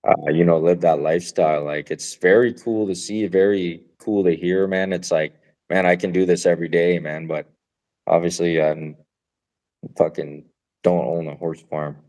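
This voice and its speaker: A man co-hosting a podcast, chatting casually in a relaxed, rambling way, swearing now and then and laughing often. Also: sits low, normal speed. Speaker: podcast co-host. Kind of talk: casual chat.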